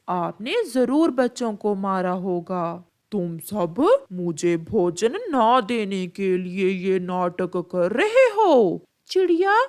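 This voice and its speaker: A stern, accusing cartoon animal, played by a woman, pitched high. The scolding comes slowly and deliberately, full of distrust. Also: darkish tone. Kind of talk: Hindi animated fable dialogue.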